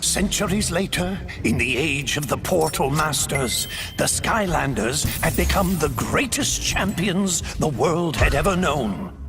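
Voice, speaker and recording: An actor, a man, narrating game lore as a grave storyteller of ancient legends, measured and dramatic. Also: fairly high pitch, lively intonation. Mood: grave, portentous. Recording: music behind the narration.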